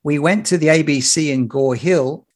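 A man in his fifties with an Australian accent, a broadcaster telling stories as a podcast guest in a relaxed way, with many fillers and restarts. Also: on the high side, normal speed. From Sydney, Australia. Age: 50s. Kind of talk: casual interview chat. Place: home near a rail line.

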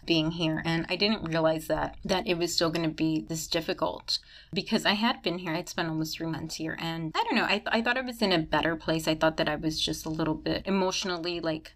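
A woman's voice: low-pitched, fairly even intonation, a little fast. A woman hosting a podcast, talking candidly in a casual chat with a congested, stuffy nose, dropping Spanish phrases. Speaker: podcast host. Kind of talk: casual podcast intro monologue.

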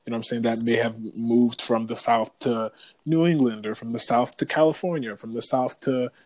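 A man's voice: medium pitch, steady tone, normal speed, a little mellow, no echo, rough recording. A man co-hosting a podcast, talking in a casual, reflective and emphatic way with slangy phrasing. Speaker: podcast co-host.

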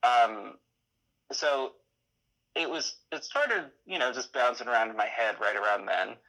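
A man chatting casually as an interview guest, halting often as he thinks aloud. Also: medium pitch, lively intonation, bright, forceful tone, low-quality recording. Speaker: interview guest, audio drama creator.

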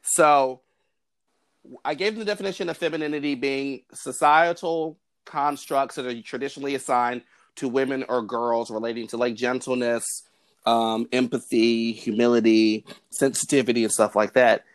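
A man hosting a podcast, explaining ideas in a measured way and chatting with his guest. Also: mid-range voice, fairly even intonation. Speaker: podcast host. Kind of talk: podcast conversation.

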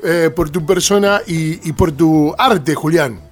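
Argentine male radio host, highish pitch, casual interviewing, halting repetitions, faint music behind.

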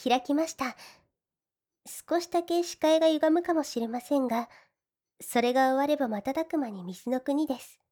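A woman voicing a gentle, devoted slime woman in an ASMR fantasy audio drama, speaking politely and a little shyly. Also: sits high, moderate pace, natural voice. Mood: calm, reassuring. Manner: soft, polite, deferential, shyly hesitant. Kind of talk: Japanese ASMR situation voice drama.

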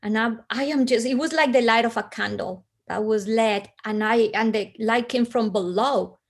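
A woman with a Colombian accent, a guest earnestly telling a personal story with pauses and restarts. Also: fairly high pitch.

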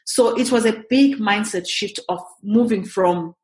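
A woman's voice: medium pitch, measured pace. Woman from Zimbabwe telling her story. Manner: lively storytelling with quoted inner thoughts. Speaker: guest, real estate investor. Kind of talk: interview answers.

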